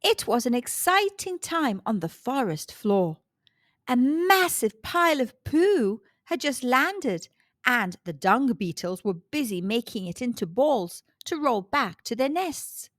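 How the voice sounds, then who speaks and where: A woman hosting a children's storytime, reading a story aloud in an expressive storytelling style. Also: a little high, takes it slowly, no echo, clean studio sound. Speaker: children's storytime host and narrator.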